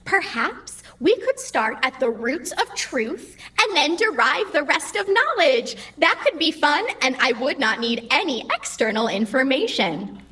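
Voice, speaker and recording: A woman's voice: sits high, very animated, measured pace, sharp tone, exaggerated voice. A woman playing a cheeky, literal-minded computer AI in an audio drama, with formal, precise phrasing. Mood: polite, earnest.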